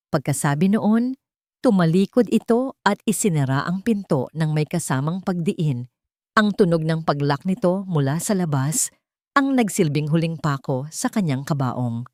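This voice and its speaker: A woman's voice: sits low, a little mellow, no room sound, clean studio sound. A woman reading a scripted romance story as narrator, with dramatic storytelling delivery.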